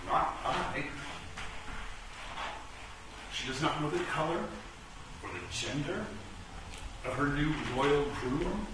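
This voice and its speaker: A man's voice: on the high side, expressive, measured pace. A man playing a smug, flirtatious, chauvinist chess king in a comic radio play, suave and mocking. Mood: gloating. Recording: echoey room, distant mic, background noise.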